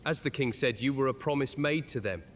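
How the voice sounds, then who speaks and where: A man's voice: medium pitch, fairly quick, natural voice. A man playing a sly, smugly charming male witch in a horror audio drama, taunting and theatrical. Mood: menacing, mocking.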